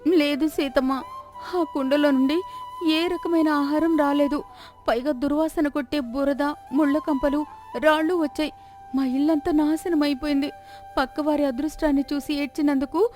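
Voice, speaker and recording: A woman's voice: high-pitched, a little mellow, pitched above the performer's own voice, natural voice. A woman voicing a village wife in a moral tale, scolding and lamenting dramatically. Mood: ashamed, pleading. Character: alarmed, scolding village wife of a greedy man.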